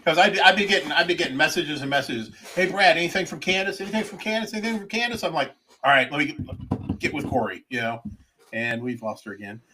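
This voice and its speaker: A man's voice: fairly high pitch, fast talker, background noise. A man hosting a podcast, joking and rambling, often stumbling over his words. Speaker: podcast host. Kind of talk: casual podcast banter.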